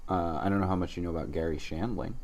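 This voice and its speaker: Low-voiced man with dry, sarcastic delivery. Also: steady tone, quick pace, darkish tone. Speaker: podcast co-host. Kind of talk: casual comedy podcast banter.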